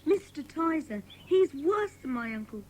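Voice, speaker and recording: A woman's voice: sits high, big pitch swings, unhurried, a little hiss or hum. A woman playing a timid, earnest young British runaway boy in a dramatised children's audiobook. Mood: frightened, defeated. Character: Jeff, frightened, grateful young runaway boy.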